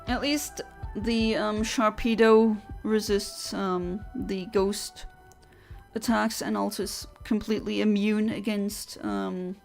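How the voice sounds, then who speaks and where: Gravelly-voiced woman streamer, chatty. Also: mid-range voice, steady tone, slow, faint music behind. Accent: non-native English accent. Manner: chatty and self-deprecating, many fillers and restarts. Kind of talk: casual live-stream chat while playing and answering chat.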